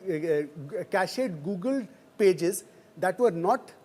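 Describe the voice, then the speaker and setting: A man with an Indian accent explaining a story as a news reporter in a hesitant, halting way. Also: high-pitched, expressive, a little mellow. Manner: hesitant, halting delivery with frequent pauses.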